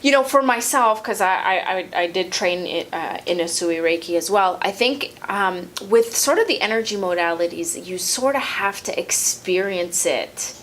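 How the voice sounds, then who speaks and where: A woman's voice: on the low side, lively intonation, moderate pace, hardly any pauses, a bit bright, a little hiss or hum. A woman hosting her own interview show, asking questions in an enthusiastic, encouraging way with many fillers. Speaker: host, physiotherapist.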